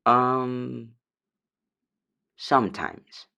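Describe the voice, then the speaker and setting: Lady doing a shy unsure dryad caller for an audio drama, really slow halting delivery, meek. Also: extremely low pitch, vocal fry, sharp tone, clear, resonant tone, dull audio, exaggerated voice. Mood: subdued, unsure. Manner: hesitant, unsure, trailing off. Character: hesitant, meek female dryad, homesick for her forest. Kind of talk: audio drama radio call-in.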